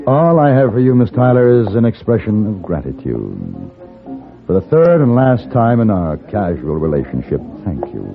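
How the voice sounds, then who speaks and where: An actor playing a suave, courtly gunfighter for hire with dry, polished speech in a radio Western. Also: male voice, lowish voice, huge pitch swings, vocal fry, full character voice. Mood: cool, dismissive. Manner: polished, wry, measured gentlemanly speech. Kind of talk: 1950s radio Western acting. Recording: tinny 1950s radio recording with music.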